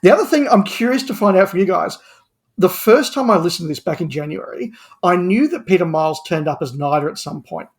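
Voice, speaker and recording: A man in a casual podcast discussion, talking as a guest panellist and telling things in an animated way. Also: sits high, brisk.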